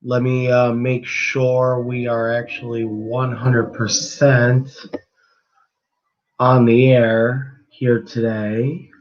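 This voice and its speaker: A man's voice: mid-range voice, level tone, very slow, highs cut off. A man hosting a casual live-stream sports podcast, his voice a little worn after he nearly lost it, talking in an easygoing, rambling way with many ums and restarts. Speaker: podcast host.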